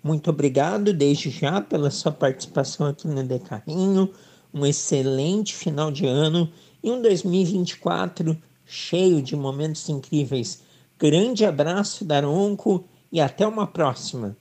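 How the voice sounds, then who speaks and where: A man's voice: on the high side, unhurried, mellow. A man hosting a sports radio show, giving upbeat, formal introductions and questions to his guests. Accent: southern Brazilian Portuguese.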